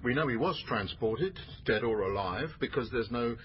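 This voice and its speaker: Slightly muffled radio drama audio, with faint noise, features an actor as a senior male detective. He is a businesslike boss with a medium-pitched voice and a natural delivery. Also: animated, moderate pace, lightly acted.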